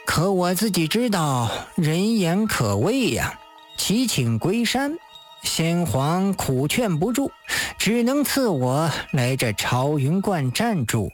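A storyteller voicing a sly, mocking old Taoist master in an audiobook, gloating at a leisurely pace with drawn-out interjections. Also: medium pitch, very animated, soft background music. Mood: smug, chuckling.

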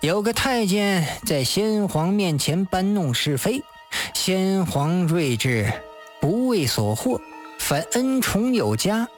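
A storyteller voicing a sly, mocking old Taoist master in an audiobook, gloating at a leisurely pace with drawn-out interjections. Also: mid-range voice, sing-song, faint music behind. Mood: smug, chuckling.

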